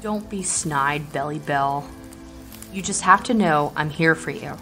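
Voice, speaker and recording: A woman's voice: low voice, a little slow, a bit bright. A woman playing a snarky but protective teenage girl in an audio drama. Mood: concerned. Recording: music under the voice.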